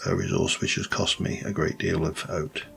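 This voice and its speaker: A man with a light Yorkshire accent, rambling through casual gameplay commentary with many pauses and restarts. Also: extremely low pitch, big pitch swings, creaky, fairly bright tone, faint music behind. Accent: light Yorkshire English. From Yorkshire, England. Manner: rambling, thinks aloud with many ums and restarts. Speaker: let's play host.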